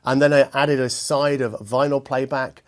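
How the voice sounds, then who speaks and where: A man hosting a product review, speaking to viewers in a measured, articulate and precise way. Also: medium pitch, steady tone. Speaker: host and audio equipment reviewer.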